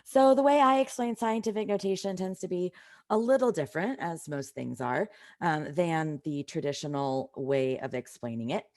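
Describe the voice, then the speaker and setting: A woman with a slightly raspy voice from a cold, teaching an informal live online math lesson in a conversational way, stressing key points. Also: fairly low pitch, moderate pace, darkish tone. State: has a cold.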